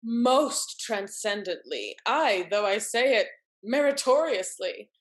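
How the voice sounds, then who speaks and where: Woman playing high, effusive society wife. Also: very animated, slow pace. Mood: mock fervour. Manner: theatrical, exclamatory, mannered. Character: married society woman, arch, effusive, sharp-witted wife. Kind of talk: Restoration comedy stage acting.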